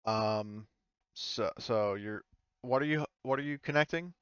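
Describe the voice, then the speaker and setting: A dry, slightly muffled recording of a man with a smooth, clean voice. He is a game master improvising descriptions, tentatively rewording as he goes. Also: mid-range voice, a little slow, fairly bright tone, dry recording. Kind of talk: improvised tabletop roleplay narration.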